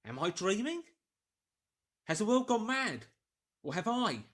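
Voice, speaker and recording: A man's voice: high-pitched, very animated, a little slow, fairly bright tone, pitched above the performer's own voice, heavily acted. A man voicing an astonished, agitated English doctor who argues with himself in short, emphatic bursts for an audiobook. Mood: incredulous. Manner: exclamatory, emphatic, musing aloud in short bursts. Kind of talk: dramatised audiobook character voice.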